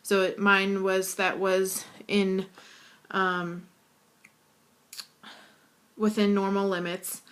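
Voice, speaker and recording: A woman student with a deep, hoarse voice and vocal fry, talking casually and hesitantly to camera. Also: level tone, extremely slow, long pauses. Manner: hesitant, frequent fillers. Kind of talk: casual video assignment to camera.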